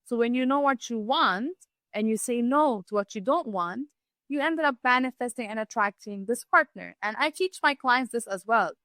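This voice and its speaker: Podcast guest: woman, 30s, Lebanese raised in southern Africa, bright forward voice, dry close sound, open explanatory storytelling. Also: on the high side, animated, moderate pace, dry recording. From Lebanese, grew up in Zimbabwe and the Gambia. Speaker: podcast guest, holistic wellness practitioner. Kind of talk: conversational personal storytelling.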